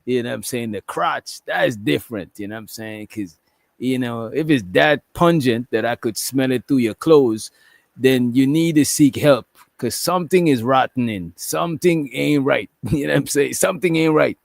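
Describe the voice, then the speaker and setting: A man's voice: mid-range voice, moderate pace, vocal fry, no echo. A man hosting a casual reaction commentary, swearing freely, laughing often and repeating "you know what I'm saying". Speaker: commentary show host.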